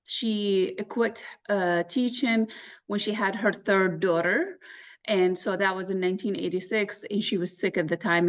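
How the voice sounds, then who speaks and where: A woman's voice: medium pitch, lo-fi sound. A woman from Iraq, around forty, telling her personal story candidly and matter-of-factly as an interview guest. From Baghdad, Iraq. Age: 30s to 40s. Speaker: interview guest, real estate agent.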